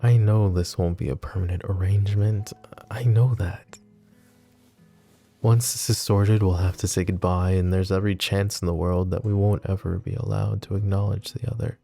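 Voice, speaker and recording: A man voicing a wry, tender mafia boss in an ASMR roleplay, soft-spoken and teasing. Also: low-pitched, steady tone, moderate pace, gravelly, faint music behind. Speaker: voice actor.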